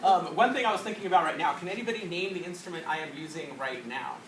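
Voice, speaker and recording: High-voiced man explaining in a roomy classroom. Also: quick pace. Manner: explanatory, demonstrates by singing. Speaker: music teacher. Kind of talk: classroom teaching. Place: school classroom. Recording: echoey classroom from far off with loud noise.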